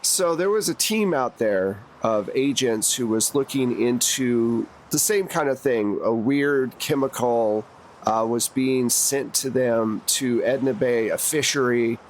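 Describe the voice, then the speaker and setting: A man's voice: mid-range voice, measured pace, slight background noise. Conversational man running a tabletop game. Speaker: game master. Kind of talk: narrating a tabletop roleplaying game. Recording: music behind the voice.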